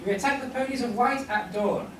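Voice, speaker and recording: A brash, headstrong rebel pony, played by a man boasts in a high, declaiming voice for a radio play. It is very echoey and distant, with noise behind. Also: fairly quick. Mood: boastful. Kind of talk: read-through of radio play script. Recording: very echoey convention room, distant, noisy.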